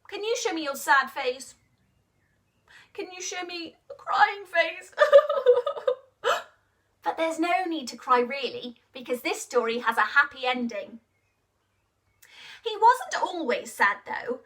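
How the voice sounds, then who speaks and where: A woman telling a story to children in an expressive, interactive way, asking questions and encouraging them to act along. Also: high voice, distant mic.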